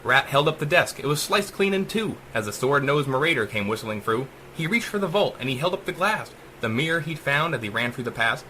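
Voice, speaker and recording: Casting: male author-reader, theatrical and emphatic rhyming narration, sharp bright voice, very lively intonation. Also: mid-range voice, a little fast, hardly any pauses, slight background noise, rough recording. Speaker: author reading own short story. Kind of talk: dramatic rhyming audiobook narration.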